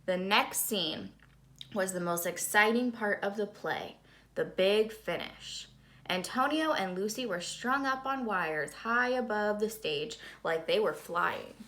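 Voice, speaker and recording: Female storybook reader, bright forceful voice, dramatic and suspenseful narration for young listeners. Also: medium pitch, takes it slowly. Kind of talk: expressive children's read-aloud narration.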